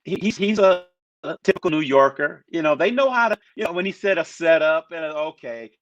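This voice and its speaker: A man's voice: on the high side, lively intonation, brisk, dry recording. A man on a talk panel, speaking casually and rambling with many restarts. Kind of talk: casual chat. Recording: muffled lo-fi sound.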